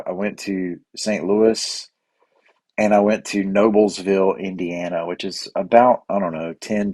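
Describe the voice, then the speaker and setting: A middle-aged man, slightly hoarse and raspy, hosting a rambling solo podcast with lots of fillers and restarts. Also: lowish voice, darkish tone, dry recording. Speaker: podcast host. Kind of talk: casual solo podcast monologue.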